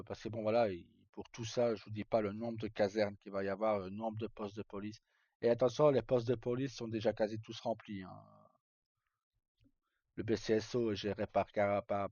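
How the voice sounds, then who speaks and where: A man's voice: on the low side, darkish tone. A man live-streaming, chatting casually to viewers and explaining things in an informal, hesitant, repetitive way. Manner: informal, hesitant, repeating himself. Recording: roomy, dull lo-fi stream microphone.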